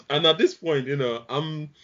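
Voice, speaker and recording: A man in a casual group discussion, speaking in a measured, reflective way with frequent pauses. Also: a little high, a bit bright. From outside the UK. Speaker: panel guest. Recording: dull lo-fi sound.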